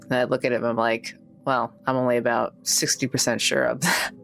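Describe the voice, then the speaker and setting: A woman playing a tabletop game, chatting casually and reasoning things out in a measured, informal way. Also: extremely low pitch, little pitch movement, creaky, soft background music. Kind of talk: casual in-character game chat.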